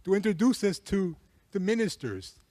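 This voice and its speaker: A man's voice: high-pitched, very animated, warm tone, no echo. Earnest man from Peru at a panel. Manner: earnest, measured, with frequent um fillers. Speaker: panel speaker, civil society network chair. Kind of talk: conference panel remarks. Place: conference hall.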